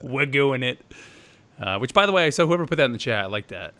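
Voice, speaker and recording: A man's voice: medium pitch, sing-song, fairly quick, pushed and bright. A man hosting a live gaming podcast, talking in an upbeat, chatty way. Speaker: podcast host.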